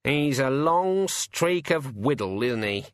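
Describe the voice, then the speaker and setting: A man's voice: medium pitch, expressive, slow pace, voice pushed up. A man voicing a wry, gossipy talking raven who makes sardonic asides.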